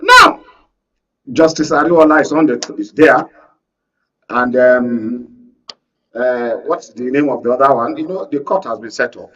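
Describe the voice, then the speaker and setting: Male Nigerian political analyst, husky voice, insistent panel debater, dull off-mic studio sound. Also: medium pitch, sing-song, unhurried, long pauses, bright, forceful tone, far from the mic. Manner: insistent, holds the floor, repeats phrases. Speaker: panel guest, media analyst. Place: radio and TV studio.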